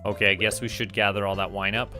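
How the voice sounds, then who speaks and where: Casting: male game streamer, lowish voice, playful self-talk, casual commentary over clear background music. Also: level tone, quick pace. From Canada. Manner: thinks aloud, chatty self-talk, playful.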